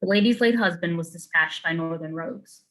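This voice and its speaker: A woman's voice: fairly low pitch, fairly quick, fairly bright tone, close to an everyday voice. A woman playing a reserved, dutiful samurai retainer in a dramatic script reading, formal and guarded in manner. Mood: earnest.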